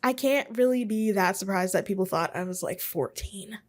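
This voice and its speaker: A woman's voice: mid-range voice, normal speed, a little hiss or hum. A woman in her twenties hosting a casual solo podcast from her home office, rambling and self-deprecating with chuckles and asides. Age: 20s. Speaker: podcast host.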